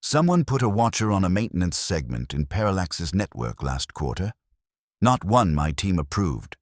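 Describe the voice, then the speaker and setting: A man's voice: sits low, big pitch swings, normal speed, dry recording, studio quality, voice pushed up. A man voicing a wary, terse corporate security man who reports in a clipped, serious, factual manner. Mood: guarded, serious.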